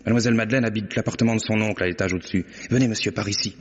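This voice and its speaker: An actor playing a polite, earnest bank clerk with a brisk, deferential manner in an old French radio drama. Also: male voice, lowish voice, fairly even intonation, faint music behind, lightly acted. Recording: muffled radio recording with long reverb.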